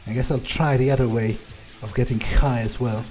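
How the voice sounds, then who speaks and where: A man's voice: mid-range voice, fairly even intonation, normal speed, darkish tone, faint music behind, rough recording. A man role-playing a laid-back, wry male vampire in a game playthrough, thinking aloud in a casual, hesitant, sweary way. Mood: nonchalant. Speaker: gamer role-playing his character. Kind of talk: in-character game playthrough commentary.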